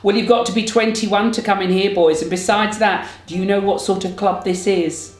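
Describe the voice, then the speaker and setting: A man performs a worldly, camp English entertainer in his fifties from a novel. He is arch, kindly and theatrical, with crisp diction and teasing endearments. The room echoes and faint music plays behind. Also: sits high, steady tone, normal speed, voice pushed up, natural voice. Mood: amused, theatrical. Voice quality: crisp, well presented. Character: camp, kindly, worldly fifty-ish male cabaret entertainer.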